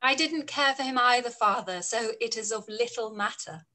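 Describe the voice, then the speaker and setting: A woman's voice: on the high side, moderate pace, pushed and bright. A young woman playing a witty, spirited Regency daughter, wry and poised, in a period drama read-through. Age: young adult. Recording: noisy home video call.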